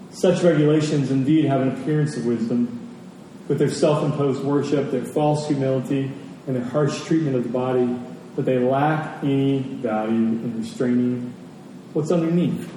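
A man's voice: mid-range voice, measured pace, long pauses, dark tone. A man preaching a sermon, earnest and emphatic, repeating phrases for stress. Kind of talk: sermon with personal anecdotes and scripture reading. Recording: very echoey hall, distant mic, faint noise.

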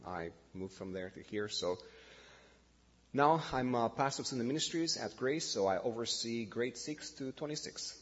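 A man's voice: lowish voice, husky. Middle-aged man, Romanian accent, pastor, hesitant delivery, dull lo-fi sound in a big echoing hall. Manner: halting, many fillers and self-corrections. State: nervous. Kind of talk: informal sermon introduction. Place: church hall.

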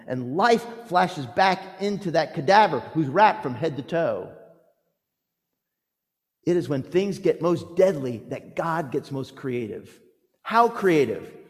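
A male preacher delivers a dramatic storytelling sermon in a large hall with long reverb. His voice sits fairly high for a man. He speaks emphatically and theatrically, shaping phrases with pauses and swings in volume.

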